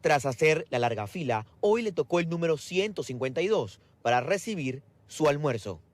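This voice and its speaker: A man's voice: fairly high pitch, big pitch swings, normal speed, no room sound. A male field reporter, reporting live outdoors at a border bridge and interviewing people.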